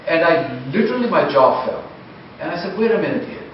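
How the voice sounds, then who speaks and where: A man's voice: fairly high pitch, animated, a little fast. A man making his point in a heated discussion with earnest insistence, English not his first language. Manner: earnest, insistent, keeps cutting back in. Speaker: discussion participant. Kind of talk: heated debate. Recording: dull echoey recording from far off, faint background noise.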